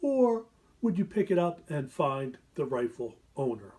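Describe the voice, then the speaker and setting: Mellow, deliberate man in his fifties. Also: fairly high pitch, sing-song. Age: 50s. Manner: deliberate, poses rhetorical questions. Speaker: interviewee. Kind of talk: interview answers.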